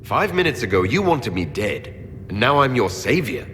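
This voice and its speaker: Wry male hero with lively pitch. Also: moderate pace, slight background noise. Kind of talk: fantasy game voice acting.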